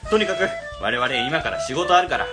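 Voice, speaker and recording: A man's voice: on the high side, very animated, fairly quick, loud music behind the voice. A man playing a timid, blustering middle-aged section chief in a comedic radio drama, flustered and pompous.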